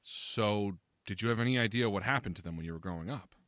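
A man acting in an audio drama as a probing, slightly impatient confidant with a measured, questioning, dry manner. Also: fairly low pitch, a bit bright, no echo, close to an everyday voice. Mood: concerned.

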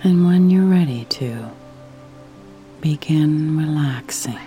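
A woman guides a sleep meditation in a soft, breathy, mellow voice. She speaks very slowly and soothingly, with long pauses. Faint music plays underneath. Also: deep voice. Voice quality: soft, breathy, near whisper at times.

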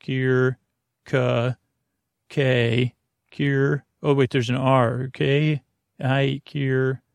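Creaky-voiced man rambling through a bedtime podcast. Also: mid-range voice, little pitch movement, no room sound. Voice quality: gravelly, creaky. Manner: meandering, halting, absent-minded, self-interrupting. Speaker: podcast host.

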